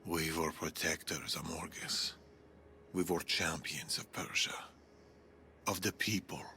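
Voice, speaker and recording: An actor playing a grave, principled old Persian warrior in a video game, solemn and earnest. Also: male voice, extremely low pitch, steady tone, slow pace, gravelly, pushed and bright, slight background noise, heavily acted. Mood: grave reproach. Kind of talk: video game cutscene acting.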